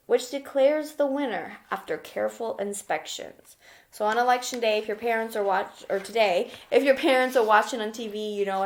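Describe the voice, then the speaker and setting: Higher-voiced woman teacher reading to children. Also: a little mellow. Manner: instructional, simple child-directed explaining with frequent check-in "okay?". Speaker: elementary school teacher. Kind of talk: children's read-aloud lesson with questions.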